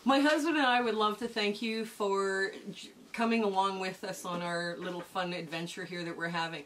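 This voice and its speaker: A middle-aged woman hosting a quilting lesson, chatting warmly while she sews, her voice starting to go hoarse. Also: mid-range voice, fairly even intonation, moderate pace, a little hiss or hum. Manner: chatty, homey, talks while sewing. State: voice going hoarse. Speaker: quilting channel host. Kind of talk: casual sewing tutorial.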